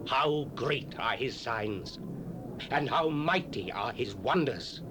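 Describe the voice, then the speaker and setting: A man's voice: medium pitch, expressive, slow. An actor playing a proud, commanding Babylonian king in a dramatized scripture, with stately, emphatic delivery. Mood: stately proclamation. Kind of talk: dramatized scripture, declamatory acting. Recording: noisy 1970s cassette dramatization.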